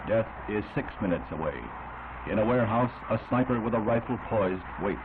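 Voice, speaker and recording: A man's voice: on the low side, brisk, background noise. An American man reads scripted 1960s newsreel narration in a solemn, dramatic, declamatory manner. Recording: tinny band-limited vintage newsreel, music behind.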